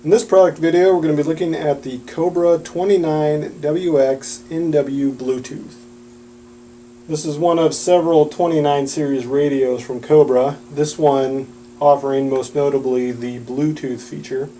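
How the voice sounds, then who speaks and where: A man's voice: fairly high pitch, fairly even intonation, moderate pace, warm tone, rough recording. A man giving a plain-spoken, instructional product demonstration. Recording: audible background noise with hum.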